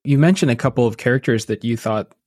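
A man's voice: mid-range voice, fast talker, darkish tone, slightly rough, faint music behind. A man hosting a conversational podcast interview, phrasing questions in a thoughtful, exploratory way. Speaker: podcast host.

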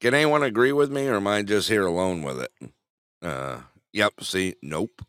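A man's voice: on the low side, normal speed, a bit bright, clean tone, dry recording, studio quality. A man hosting a casual live-stream show, rambling, chuckling and reacting to viewer chat. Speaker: host.

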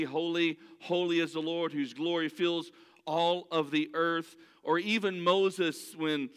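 A man's voice: fairly high pitch, a bit bright, smooth. A man preaching a sermon in an earnest way, often restarting his sentences, for whom English is not his first language. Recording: long hall reverb.

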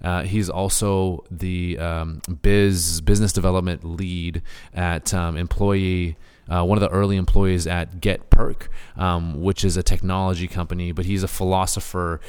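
A man hosting a podcast, talking casually and with excitement, full of fillers and hype for his guest. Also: sits low, normal speed, few pauses, clean tone, big-room sound. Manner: excitable, hyping, lots of fillers. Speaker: podcast host.

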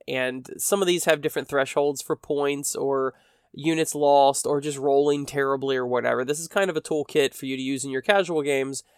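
A man's voice: mid-range voice, a bit bright, clear, resonant tone, dry recording, clean studio sound. A man hosting a casual solo podcast, chatting in a rambling, self-deprecating way while sounding a bit stuffy from allergies. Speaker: podcast host.

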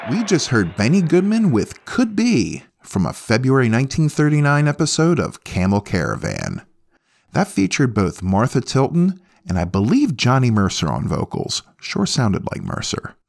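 A man's voice: medium pitch, wildly swooping pitch, normal speed, gravelly, a little mellow. A man hosting a music podcast in a casual, folksy, self-deprecating way. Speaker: podcast host.

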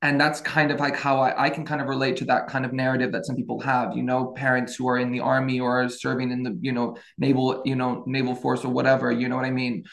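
A young man in his twenties, from France, talks as a podcast guest. His voice has a gravelly edge, and his pitch sits in a middle range. He stops and restarts often, leaning on fillers as he gathers his thoughts. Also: completely flat delivery, fast talker, hardly any pauses, a bit bright. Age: 20s. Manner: hesitant, many fillers and restarts. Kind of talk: casual interview storytelling.

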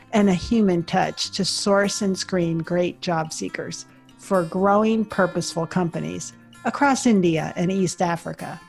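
A woman hosting a podcast, polished and upbeat as she introduces her guest and asks questions. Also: lowish voice. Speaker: podcast host. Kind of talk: scripted intro then interview questions.

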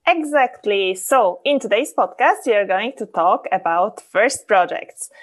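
A woman's voice: mid-range voice, expressive, unhurried. A woman co-hosting a podcast, talking enthusiastically with some loose non-native phrasing. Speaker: podcast co-host. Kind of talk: casual podcast chat.

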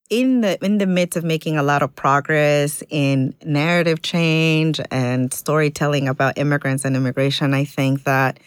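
Low-voiced earnest woman organizer. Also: no room sound, clean studio sound. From Ecuador. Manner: earnest, thoughtful, halting with fillers. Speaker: immigrant community organizer. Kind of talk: reflective interview conversation.

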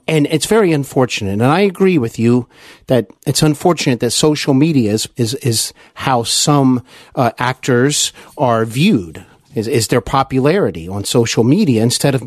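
A man interviewing a guest as a podcast host, polite and measured, with hesitant pauses. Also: medium pitch, animated, no room sound, clean studio sound.

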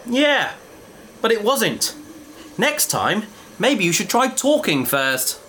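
An actor playing an eager bookish explorer. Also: male voice, high voice, sing-song, slow, bright, forceful tone, a little hiss or hum, heavily acted. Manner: enthusiastic, lecturing. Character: bookish, curious young adventurer. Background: music.

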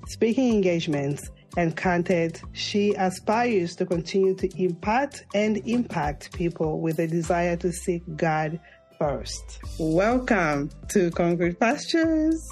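A woman's voice: fairly low pitch, darkish tone. A woman hosting a podcast, reading a guest introduction and asking friendly, curious questions. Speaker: podcast host. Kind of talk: interview, casual chat.

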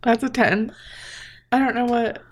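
A woman's voice: on the high side, steady tone, unhurried, background noise. A woman playing a tabletop game, chatting casually and apologetic. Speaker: player.

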